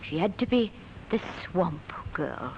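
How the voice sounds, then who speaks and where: A middle-aged mother full of bitterness and grief in a vintage radio play. Her voice sits slightly low, heard through a dull recording with faint noise. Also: big pitch swings, a little slow. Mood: bitter. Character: bitter, grieving mother of a murdered daughter. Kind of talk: old-time radio drama acting. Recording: muffled, noisy old radio broadcast.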